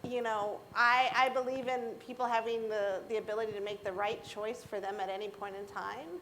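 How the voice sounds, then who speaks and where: A middle-aged American woman speaking casually and anecdotally to an audience, acting out dialogue in an animated way. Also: mid-range voice, a little fast, a little hiss or hum, rough recording. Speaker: keynote speaker on a panel.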